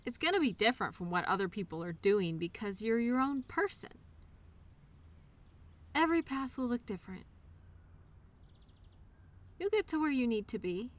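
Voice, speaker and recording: A woman playing a loyal childhood imaginary friend in an audio roleplay, speaking in an earnest, reassuring, gently encouraging way. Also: fairly high pitch. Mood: affectionate, reassuring. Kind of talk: one-sided audio roleplay, intimate scripted conversation.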